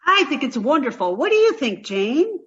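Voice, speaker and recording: A woman's voice: sits high, very animated, moderate pace, a bit bright, lo-fi sound. An actress playing a sweet, naive, scatterbrained young girlfriend in 1940s radio sitcom style, doting and earnest.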